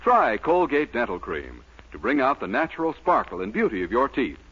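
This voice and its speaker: Man, 1940s radio commercial announcer, husky, very animated intonation, lo-fi broadcast sound. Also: normal speed. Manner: emphatic, persuasive pitch.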